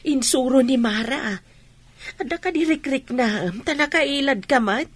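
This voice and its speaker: Woman playing a husky, fretful village mother. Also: high voice, measured pace. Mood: nagging, resigned. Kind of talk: radio comedy drama dialogue.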